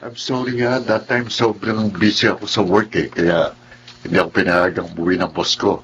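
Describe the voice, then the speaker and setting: Caring flirty charmer in pinoy radio drama, low soothing voice, slight noise. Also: male voice, fairly even intonation, moderate pace, a bit bright, lo-fi sound. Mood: apologetic, charming. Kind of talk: Filipino radio drama acting.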